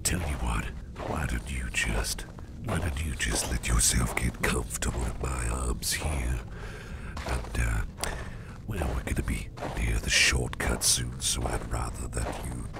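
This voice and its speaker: A man playing a caring, slyly warm boss in an ASMR roleplay, talking in a gravelly half-whisper with a rambling, folksy, reassuring manner. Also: very deep voice, very noisy. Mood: tender, reassuring. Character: caring, gruff-voiced boss, a man with sly, soothing warmth. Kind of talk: soft ASMR roleplay, addressing the listener.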